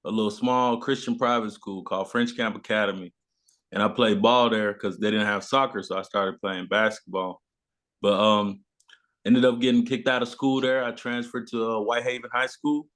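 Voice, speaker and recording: A man's voice: on the low side, a bit bright. A young man from Memphis, telling stories as an interview guest in informal, slangy speech. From born in South Sudan, grew up in Memphis, USA. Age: young adult. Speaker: guest, basketball player. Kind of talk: casual chat, storytelling.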